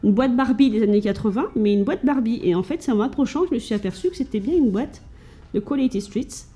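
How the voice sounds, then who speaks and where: Chatty French woman with a warm, mellow voice. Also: fairly high pitch, lively intonation, fast talker. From France. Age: adult with children. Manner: chatty, hesitant, lots of fillers and restarts. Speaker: vlogger showing thrift finds. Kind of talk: casual chat to camera. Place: at home.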